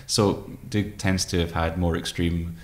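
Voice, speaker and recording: A man in his thirties talking reflectively as an interview guest, hesitant and thoughtful, pausing often. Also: deep voice, flat delivery. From Scottish Highlands. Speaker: guest, poet and musician. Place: room with tall windows, indoors. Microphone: microphone on desk stand.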